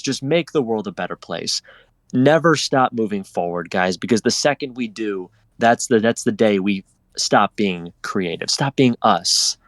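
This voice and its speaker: A man's voice: medium pitch, no echo. A man speaking casually as a panel guest, effusive and encouraging, addressing listeners directly.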